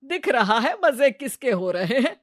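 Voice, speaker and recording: Woman playing exasperated middle-aged mother-in-law, sarcastic grumbler, fairly high voice, family moral tale. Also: sing-song, moderate pace, dry recording, studio quality. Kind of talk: Hindi family moral story dramatization.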